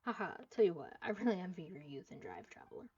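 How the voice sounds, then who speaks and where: A woman's voice: on the low side, big pitch swings, quick pace, dark tone, husky, lo-fi sound. A woman live streaming a game, reading dialogue aloud and reacting, sometimes stumbling over the words.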